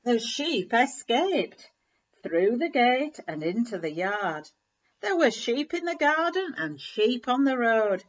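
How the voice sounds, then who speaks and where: A woman reading a children's story aloud in an animated, measured storytelling manner. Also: fairly high pitch. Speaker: story reader. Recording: slightly dull, lo-fi recording.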